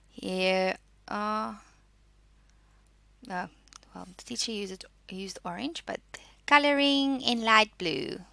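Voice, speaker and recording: A woman teaches a school science lesson in a bright voice with lively, varied intonation. She reads and explains in an instructional way, posing questions and answering them, with a creaky edge in a close, dry sound. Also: medium pitch, dry recording. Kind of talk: school lesson, reading notes and explaining exercises.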